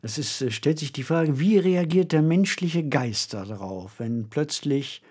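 A man co-hosting a podcast, presenting conversationally in a deliberate, musing and emphatic way. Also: a little high, animated, a little mellow, husky. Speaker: podcast co-host. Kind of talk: conversational presenting of radio plays.

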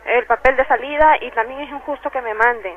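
A woman's voice: high-pitched, a little fast. A woman with an Ecuadorian accent giving personal testimony over the telephone in a radio interview. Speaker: detainee giving testimony by phone. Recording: muffled, noisy telephone line.

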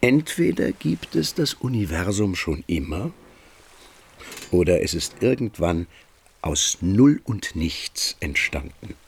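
An actor playing a calm, reflective middle-aged father in a radio drama. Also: low-pitched, takes it slowly, gravelly, husky, full character voice. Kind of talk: German radio drama acting.

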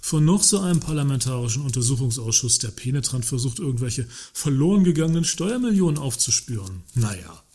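An actor playing a wordy works council member who is politely provocative and mock-earnest in a satirical radio play. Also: male voice, mid-range voice, sing-song, brisk, few pauses, dark tone, breathy edge. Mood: ironic sympathy.

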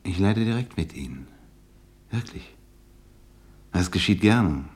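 A husky, deep-voiced gushing flatterer. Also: male voice, slow, exaggerated voice. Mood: oily sympathy. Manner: gushing, hesitant, chuckling between phrases. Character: effusive, ingratiating bachelor businessman, clumsy flatterer. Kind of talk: naturalistic German radio drama acting.

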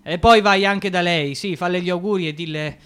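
An actor playing an anxious, fragile young clerk, dreamy and emotional, with a hesitant, plaintive delivery in an Italian radio drama. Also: male voice, high-pitched, moderate pace, fairly bright tone, smooth. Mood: hurried.

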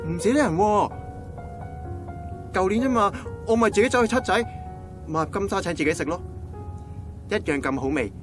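A man's voice: sits high, normal speed, long pauses, lightly acted. An actor playing a goofy, lovelorn 27-year-old male friend with a Hong Kong Cantonese accent, joking and comforting in a radio drama. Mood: joking, wistful. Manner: joking, animated. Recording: music behind the dialogue.

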